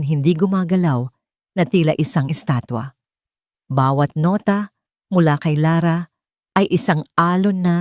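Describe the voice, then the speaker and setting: A woman's voice: on the low side, darkish tone, dry recording, clean studio sound. A woman narrating a scripted dramatic story in a solemn, sentimental manner.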